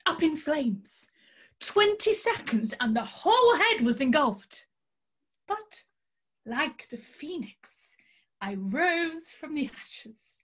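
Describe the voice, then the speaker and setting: A woman's voice: high-pitched, sing-song, slow, a bit bright, full character voice. A woman acting an eccentric, grandiose tarot reader in a comic stage scene, with pompous mystical pronouncements. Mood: theatrical, airy.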